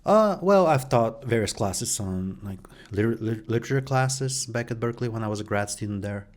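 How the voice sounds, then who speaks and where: A middle-aged man from Bulgaria answering interview questions about his work, pausing often with fillers and restarts. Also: medium pitch, lively intonation, darkish tone. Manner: hesitant, many fillers and restarts. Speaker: guest, journalist and professor.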